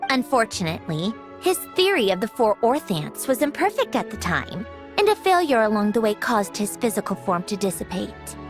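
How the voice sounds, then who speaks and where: An actress voicing a self-assured, haughty young woman scientist in a game, explaining things in a crisp, condescending way. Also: mid-range voice, very animated, normal speed, natural voice. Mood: grave explaining. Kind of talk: scripted video game character dialogue. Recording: game music behind the voice.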